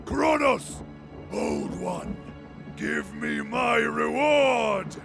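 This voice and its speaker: An actor playing a scheming, grandiose villain in a video game cutscene, theatrical and commanding. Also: male voice, extremely high pitch, wildly swooping pitch, extremely slow, vocal fry, music in the background, heavily acted. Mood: triumphant. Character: scheming, gloating male villain, grandiose and menacing.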